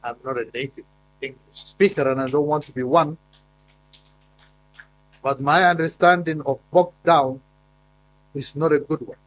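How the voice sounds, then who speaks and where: A man's voice: fairly high pitch, steady tone, moderate pace, long pauses, a bit bright, rough recording. Emphatic man giving a conference talk. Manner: emphatic, with frequent fillers and repeated words. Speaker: conference speaker. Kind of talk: formal conference presentation. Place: conference hall.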